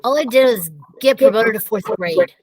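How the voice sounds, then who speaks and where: A woman's voice: sits high, very animated, normal speed, darkish tone, slight background noise, voice pushed up. A woman playing a defiant, sarcastic fourth-grade boy who feels picked on, in a children's story. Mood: indignant. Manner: sneering, defiant.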